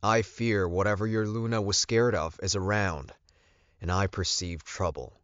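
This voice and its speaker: A man voicing a commanding, protective werewolf alpha in an audiobook, with a forceful delivery. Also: low voice, steady tone, normal speed, no echo, lo-fi sound, pitched above the performer's own voice. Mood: urgent, commanding. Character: commanding, protective male werewolf alpha, fierce about his mate.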